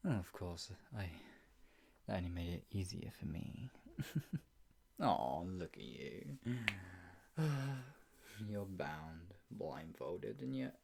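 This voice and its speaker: This is a performer acting an obsessive, possessive kidnapper in an ASMR roleplay. The delivery is slow and smug, full of teasing sighs and chuckles. It often sinks toward a breathy, taunting whisper. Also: deep voice, big pitch swings, noisy background, heavily acted. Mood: gloating, teasing.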